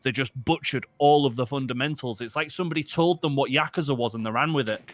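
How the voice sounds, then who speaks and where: A man's voice: medium pitch, fast, no echo. A young man with a Yorkshire accent, a stream guest in casual chat, ranting with animation and often correcting himself. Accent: Yorkshire English. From Yorkshire, England.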